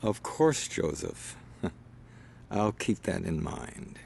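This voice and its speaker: A man's voice: sits low, sing-song, slow, vocal fry, darkish tone, slight background noise, exaggerated voice. A man playing a cold, refined crime boss in a video game, sardonic and mock-polite. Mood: coolly scheming. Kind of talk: video game cutscene acting.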